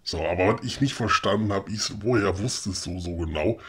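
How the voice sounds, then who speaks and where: Actor as old puppet-maker father: low, raspy, grumpy, inquisitive, colloquial comic dialogue. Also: brisk. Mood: puzzled. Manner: colloquial, grumbling, questioning with tag "ne". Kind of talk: comedic audio play dialogue.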